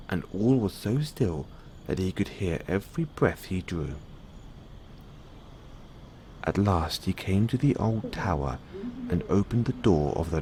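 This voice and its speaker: A man reading a bedtime fairy tale as a storyteller, in measured storybook narration. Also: sits low. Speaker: storyteller playing a boyfriend in audio roleplay. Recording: clearly audible background noise.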